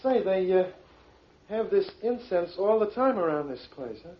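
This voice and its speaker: Folksy, higher-pitched American radio sidekick. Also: male voice, animated, moderate pace. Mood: casual, teasing. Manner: informal, naive, inquisitive. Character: folksy, curious, plain-spoken American male sidekick. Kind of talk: old-time radio drama acting. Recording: muffled vintage radio recording.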